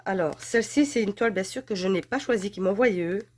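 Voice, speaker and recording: A woman talks through an unboxing in a loose, chatty way. She is stuffed up from a head cold. She gushes over what she shows and fills her pauses with fillers. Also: medium pitch, a little mellow. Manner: chatty, gushing, filler-heavy. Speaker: crafts channel host. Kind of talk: casual unboxing chat.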